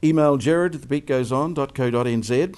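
A middle-aged male television host. His voice sits in the middle range with a dark, mellow tone as he puts brisk, friendly questions to his guest, recorded on a lapel mic. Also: medium pitch, smooth. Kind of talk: studio interview.

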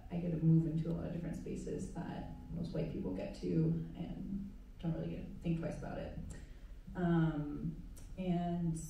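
Low gravelly woman in an echoey hall. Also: mellow, far from the mic, a little hiss or hum. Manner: candid, reflective. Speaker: panelist artist. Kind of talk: personal panel talk. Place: museum auditorium stage. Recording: echoey hall, heard from afar.

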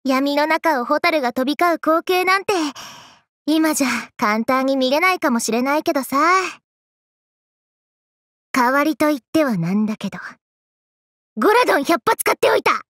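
Hyper young anime game girl, high voice, very lively swings, cocky, teasing, slangy. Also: normal speed, long pauses, dry recording. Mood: wistful, then playful. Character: brash, playful, hyper young woman in summer gear. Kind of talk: Japanese anime game character voice lines.

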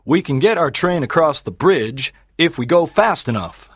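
Animated, confident train driver. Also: male voice, a little high, moderate pace, breathy edge, no echo, studio quality. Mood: confident, insistent. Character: confident, stubborn adult male train driver. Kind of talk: dramatized graded-reader audiobook.

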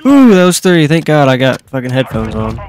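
Stuffy-nosed young man over loud gunfire. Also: medium pitch, moderate pace, a little hiss or hum. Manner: reactive, swings from mutters to excited shouts. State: slightly nasal from a cold. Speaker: gaming streamer. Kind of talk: casual gameplay commentary.

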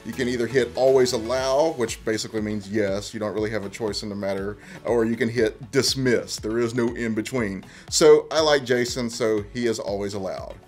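A man's voice: fairly low pitch, normal speed, hardly any pauses, a little mellow, faint music behind. A man in his thirties presenting a how-to tutorial in a conversational, step-by-step way. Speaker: tech presenter. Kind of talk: casual how-to tutorial narration.

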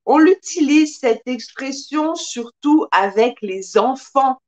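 A woman in her thirties teaches French live from a small room, recorded on a desk webcam. Her voice sits high. She speaks very slowly and expressively, drawing out each phrase for her learners. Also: highs cut off. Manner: slow, careful, expressive teacher with lots of hand gestures. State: occasional coughing from pollen allergies. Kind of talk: live-streamed language lesson.

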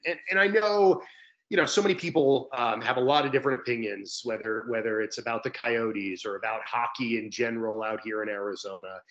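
A man's voice: mid-range voice, a bit bright, highs cut off. A young man, a sports broadcaster, answering conversationally and earnestly as a guest on a video call. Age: young adult. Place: indoor room at home, video call. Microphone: video call, close to webcam.

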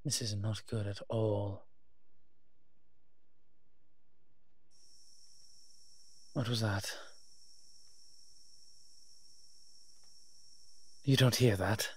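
A man playing a wry, cautious vampire father in an audio roleplay, speaking in a measured, musing way to the listener. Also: fairly low pitch, a little mellow. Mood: uneasy, alarmed. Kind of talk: scripted audio roleplay acting addressed to the listener.

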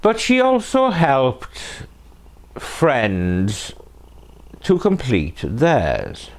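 A man's voice: medium pitch, huge pitch swings, very slow. A middle-aged man hosting a true crime show, narrating from a script phrase by phrase, with playful asides. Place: living room sofa, indoors.

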